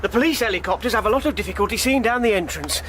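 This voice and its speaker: A man's voice: high-pitched, lively intonation, very fast, noisy background. An actor playing a dutiful, literal-minded male sergeant sidekick, deferential and cautious, in a radio drama. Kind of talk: British radio drama acting.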